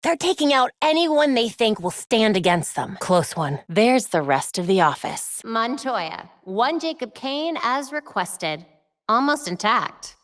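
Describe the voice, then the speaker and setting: An actress playing a young, determined vigilante superheroine in a video game, with an American accent, caring and dryly witty in an earnest, conversational way. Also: female voice, on the high side, sing-song, a little slow, no room sound, studio quality. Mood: firm, determined. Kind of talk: scripted game voice lines.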